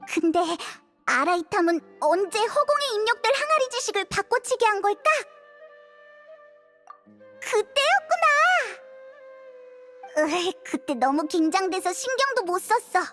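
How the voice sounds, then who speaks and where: A woman voicing a small, excitable childlike sidekick girl in a video game, chattering and full of exclamations. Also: very high-pitched, a little slow, long pauses, full character voice. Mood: excited, relieved. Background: background music.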